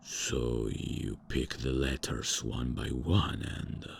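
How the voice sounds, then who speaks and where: A pompous ancient vampire lord, voiced by a man in an audio drama, with an extremely deep voice and a gravelly rasp. He talks very slowly and grandly, as if every word matters. Faint ambience sits behind him. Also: a little hiss or hum, heavily acted. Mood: curious. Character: old arrogant male vampire lord, pompous. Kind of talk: ASMR roleplay audio drama.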